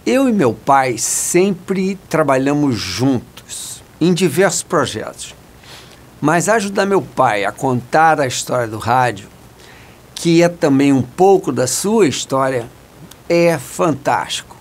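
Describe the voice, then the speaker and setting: A man's voice: a little high, lively intonation, slow pace. A man, a production director, giving a prepared testimonial.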